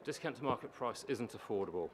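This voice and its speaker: A man with a low voice presenting a prepared submission at a public meeting, explaining and repeating points for emphasis. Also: animated, fast talker. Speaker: housing organisation manager presenting to a council. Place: council meeting chamber. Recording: meeting room with faint background noise.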